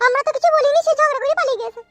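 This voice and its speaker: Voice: very high-pitched, racing, bright, forceful tone, dry recording, faint music behind, heavily acted. A performer voicing a defiant, quarrelsome young wife in a comic cartoon, whiny and argumentative. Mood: protesting.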